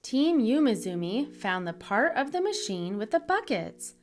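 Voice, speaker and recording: A woman reading a children's story aloud in an expressive, deliberate storytelling manner for young listeners. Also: on the high side. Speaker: children's book reader, channel host.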